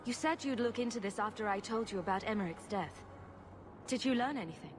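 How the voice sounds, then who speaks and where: A woman playing a sarcastic, quick-witted rogue heroine in a video game, with a wry manner. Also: medium pitch, lively intonation, close to an everyday voice. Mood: grave. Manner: wry, flippant, teasing. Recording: faint background ambience noise.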